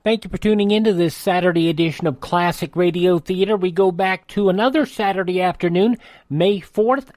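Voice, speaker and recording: A man's voice: high voice, a little mellow, no echo. A man presenting a classic radio program with a scripted introduction.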